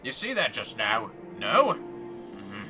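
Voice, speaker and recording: A man's voice: lowish voice, wildly swooping pitch, takes it slowly. A man livestreaming a video game, chatting casually with his play partner over game music. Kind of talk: casual co-op gaming chat.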